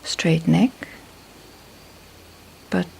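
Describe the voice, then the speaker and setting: A woman teaches meditation in a soft, breathy voice with a gravelly creak. Her delivery is very slow and calm, broken into short phrases with long pauses. Faint background noise sits under the recording. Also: on the low side. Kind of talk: slow guided meditation instruction.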